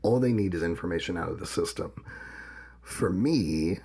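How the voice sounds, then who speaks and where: Gravelly deep-voiced man. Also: lively intonation, normal speed, darkish tone. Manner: personal, reflective, tells anecdotes. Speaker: podcast co-host. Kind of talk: casual conversation.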